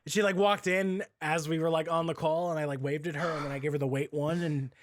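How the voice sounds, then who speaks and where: Male podcast host, slightly higher-pitched, blunt and jokey, swears casually, dry sound. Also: fairly even intonation, a little fast, no room sound. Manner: profane, blunt, joking. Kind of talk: casual chat.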